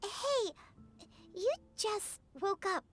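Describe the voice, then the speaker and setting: A woman voicing a small, excitable, blunt fairy-like girl companion in a video game, with a squeaky childlike voice. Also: very slow, faint music behind, exaggerated voice. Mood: eager, chatty. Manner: refers to self in third person. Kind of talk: video game character acting.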